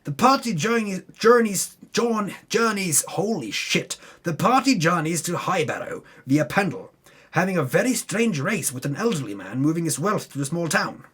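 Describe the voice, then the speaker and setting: High-pitched, animated man giving a dramatic recap. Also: normal speed, slightly rough. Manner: dramatic, sweary, breaks off to mock own slips. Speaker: host recapping a tabletop game campaign. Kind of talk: theatrical scripted narration with off-the-cuff asides.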